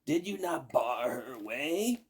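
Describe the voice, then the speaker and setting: A man's voice: medium pitch, sing-song, takes it slowly, slightly rough, voice pushed up, heavily acted. A man voicing a furious old witch from a storybook, screaming accusingly at her servants.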